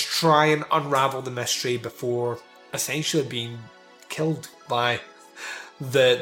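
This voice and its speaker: A man's voice: medium pitch, pushed and bright. Man, film review chat, rambling, lots of fillers, echoey room, faint music underneath. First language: not Italian. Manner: rambling, with many fillers and swearing. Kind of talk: casual film review talk. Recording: roomy echo, faint music behind.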